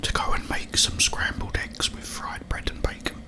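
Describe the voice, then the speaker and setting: A man hosting an ASMR video, rambling casually in a breathy, unvoiced whisper with drawn-out phrasing.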